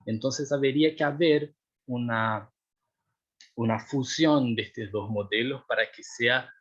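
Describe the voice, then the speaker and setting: A man's voice: medium pitch, measured pace, a bit bright, slightly rough, highs cut off. A young man, a doctoral researcher explaining his work in a seminar, hesitant with many fillers and restarts, often checking if he is understood. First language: Portuguese. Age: young adult.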